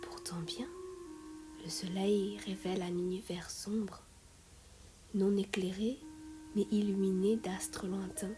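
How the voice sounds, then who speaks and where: A woman reciting a meditative poem in a soft, breathy, often whispery voice, measured, with long pauses between phrases. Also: mid-range voice, flat delivery. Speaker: poet reciting own poem. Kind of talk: slow meditative poetry recitation. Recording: music and singing bowls behind voice. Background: meditative music with singing bowls.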